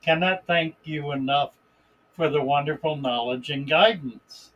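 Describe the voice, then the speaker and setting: A man hosting a live stream in a relaxed, unhurried way, greeting viewers by name and reading their comments aloud. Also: a little high, highs cut off. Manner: relaxed, unhurried, chuckles between remarks. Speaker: livestream host.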